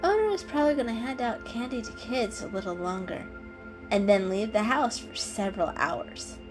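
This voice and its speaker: A woman's voice: on the high side, expressive, moderate pace, over music, natural voice, rough recording. A woman voicing a kindly female calico cat in a storybook reading, explaining things patiently and knowingly. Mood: kindly, cheerful.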